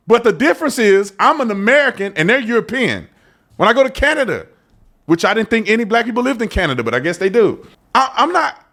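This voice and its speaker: A man hosting a casual commentary show, talking emphatically between clips and repeating himself as he argues his point. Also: high voice. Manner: animated, emphatic, repeats phrases.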